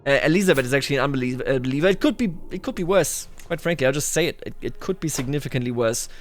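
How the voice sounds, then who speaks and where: A man's voice: mid-range voice, animated, rapid-fire, a little hiss or hum. A man giving casual roleplay commentary on a strategy game, thinking aloud and talking straight to the game's characters. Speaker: gaming video host.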